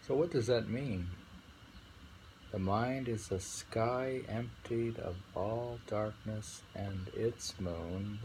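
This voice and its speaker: Guy with low creaky voice doing halting unrehearsed poetry reading and chat, slow, bit of background noise. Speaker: poetry reader and host. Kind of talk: poetry reading with informal discussion. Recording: faint background ambient noise.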